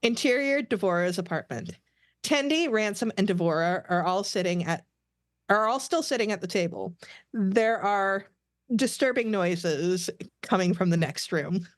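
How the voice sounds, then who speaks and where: A woman narrates stage directions for a podcast table read. Her voice sits at a medium pitch. The sound is dry and close, with little room. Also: lively intonation, measured pace, no room sound.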